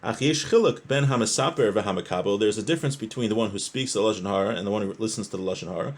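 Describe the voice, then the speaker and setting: A man's voice: fairly low pitch, rapid-fire. Man teaching a religious text, explaining as he goes. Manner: explanatory, rephrasing and posing questions to listeners. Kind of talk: religious lesson, reading a text aloud and explaining it.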